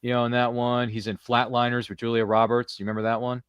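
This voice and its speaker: Fast-talking American man hosting a podcast. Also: medium pitch, level tone, smooth, no room sound, clean studio sound. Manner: jokey, slangy. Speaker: podcast host. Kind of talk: casual podcast chat. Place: studio.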